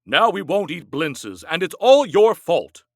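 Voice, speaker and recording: A man's voice: on the high side, big pitch swings, unhurried, a bit bright, no room sound, studio quality. A man voicing a stingy husband in a storybook, who groans and blusters. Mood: grumbling. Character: stingy, grumbling village husband.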